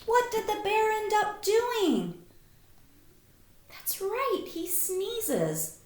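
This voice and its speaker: Animated, unhurried woman reading to children. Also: extremely high pitch. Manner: animated, simple child-directed phrasing. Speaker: teacher reading aloud to young children. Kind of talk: expressive picture-book read-aloud with questions. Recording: echoey room.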